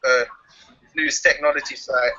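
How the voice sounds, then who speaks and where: Cheerful man with a bright voice. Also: mid-range voice, steady tone, unhurried, dull audio. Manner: cheerful, laughing. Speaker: guest. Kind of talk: casual chat.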